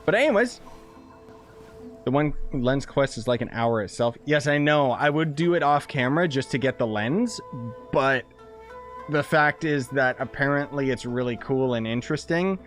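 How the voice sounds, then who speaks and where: A young man chatting through a game stream, relaxed and thinking out loud. His voice sits in an ordinary middle range. Faint game music plays underneath. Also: medium pitch, normal speed. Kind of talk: casual live-stream commentary.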